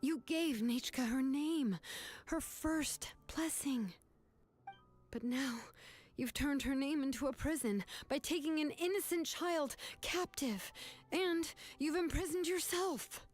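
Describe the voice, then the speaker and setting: A woman voicing a calm, firm young woman who confronts a grieving aunt in earnest, measured speech. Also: sits high.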